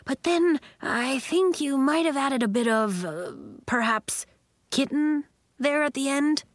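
A woman's voice: high voice, takes it slowly, no echo, studio quality, voice pushed up. A woman voicing a hearty, encouraging male school coach in a storybook. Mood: careful.

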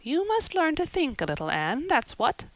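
A woman's voice: high voice, wildly swooping pitch, normal speed, fairly bright tone, no room sound, voice pushed up, full character voice. A woman voicing a gossipy, self-assured older village woman who talks in a knowing, self-satisfied way. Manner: knowing, self-satisfied, repeats a pet phrase.

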